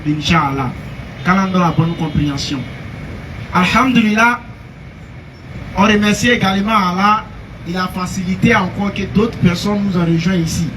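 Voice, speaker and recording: High-voiced guy preaching in the street, far from the mic, traffic noise loud. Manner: slow, halting exhortation with everyday examples.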